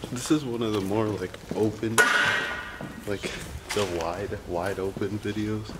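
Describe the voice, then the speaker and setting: A young man casually narrating a handheld vlog while exploring an abandoned factory, relaxed and slangy, joking with his viewers. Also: fairly low pitch, slow, pauses often, heavy background noise. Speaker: urban explorer vlogger. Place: abandoned factory, large indoor space. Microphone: handheld camera mic.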